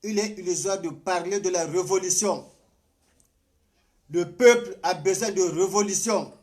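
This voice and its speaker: A man with a Congolese accent giving a political address in a halting, deliberate manner. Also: sits high, a little mellow. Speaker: political speaker.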